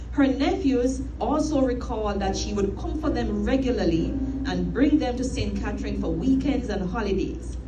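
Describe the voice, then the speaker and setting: A middle-aged woman with a Jamaican accent reads a scripted eulogy in a measured, formal storytelling manner. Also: medium pitch, background noise. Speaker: eulogist, sister of the deceased. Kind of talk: scripted eulogy read aloud. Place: inside a church. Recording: echoey church, distant mic, music behind.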